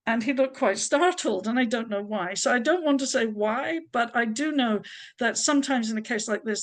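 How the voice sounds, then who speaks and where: A woman from Scotland with an English accent, a historian giving a chatty, opinionated talk full of asides. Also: a little high. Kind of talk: informal talk.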